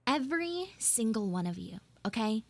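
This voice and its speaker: A woman live streaming an audio roleplay as a bossy, secretive tsundere schoolgirl, halting and teasing, slipping into hushed asides. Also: fairly high pitch, big pitch swings. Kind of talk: live in-character audio roleplay with chat banter.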